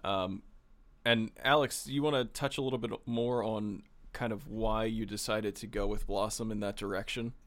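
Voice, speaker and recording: A man's voice: on the low side, fairly even intonation, moderate pace, fairly bright tone. A man moderating a casual interview as host, handing questions to guests in conversational speech.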